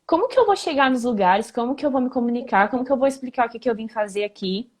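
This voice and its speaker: A woman guest, a former exchange student, telling lively, fast anecdotes in a casual interview. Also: a little high.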